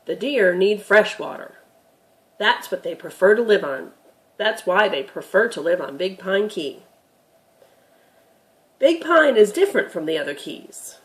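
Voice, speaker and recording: A woman's voice: medium pitch, very animated, a little slow, pauses often. A woman voicing a patient, knowledgeable grandfather who explains things emphatically in a children's storybook reading. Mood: patient, explanatory.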